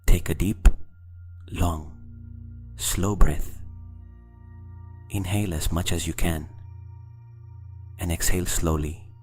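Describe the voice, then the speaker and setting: A man narrates a scripted guided meditation in slow, deliberate phrases with long pauses. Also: sits low, fairly even intonation, soft background music.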